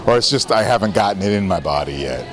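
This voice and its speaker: A man's voice: on the low side, lively intonation, quick pace. A man talking casually at a premiere, rambling and self-deprecating. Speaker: actor guest. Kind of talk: casual carpet interview. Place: outdoor premiere carpet at a venue. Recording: busy crowd behind.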